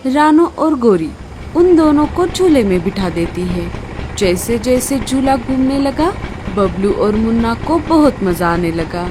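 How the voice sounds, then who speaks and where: Expressive, high-voiced woman storyteller. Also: a little slow, noisy background. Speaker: narrator. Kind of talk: scripted children's story narration.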